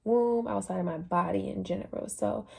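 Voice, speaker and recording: A young adult woman talking casually about her experience in a personal vlog, relaxed and chatty with plenty of fillers. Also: medium pitch, moderate pace, creaky, husky. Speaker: vlogger sharing a fasting journey.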